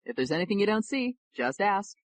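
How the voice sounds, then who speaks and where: A woman's voice: mid-range voice, sing-song, brisk, dry recording, pitched above the performer's own voice, close to an everyday voice. A woman voicing a brash, generous male defense lawyer who talks emphatically and blusters. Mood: emphatic.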